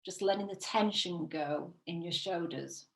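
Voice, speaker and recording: A woman leading a guided visualisation as a meditation teacher, soothing and unhurried, with long pauses between phrases. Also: fairly low pitch. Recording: roomy, echoing sound.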